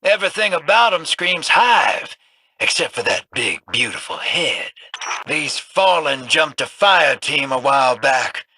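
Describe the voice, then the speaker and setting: An actor playing a cocky, folksy old gunslinger rogue in a video game, talking with a drawling, wisecracking swagger. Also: male voice, on the high side, sing-song, unhurried, bright, forceful tone, breathy edge, no room sound, exaggerated voice. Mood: wry. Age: older.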